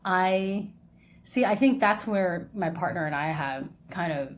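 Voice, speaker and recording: A woman's voice: fairly low pitch, lively intonation, a little slow. A young woman, co-hosting a podcast in casual, conversational chat, often checking in with the listener. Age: young adult. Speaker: podcast co-host.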